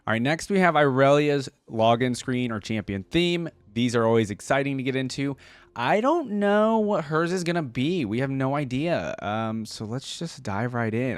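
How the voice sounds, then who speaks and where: A man's voice: mid-range voice, lively intonation, moderate pace, no room sound. A man giving casual, enthusiastic reaction commentary in an informal, slangy way, as a video host. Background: music from the videos being watched.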